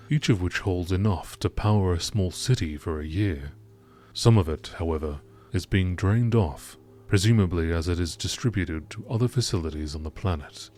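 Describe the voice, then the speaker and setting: A man reading scripted science-fiction lore in the measured, informative style of a nature documentary narrator. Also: deep voice, a little mellow.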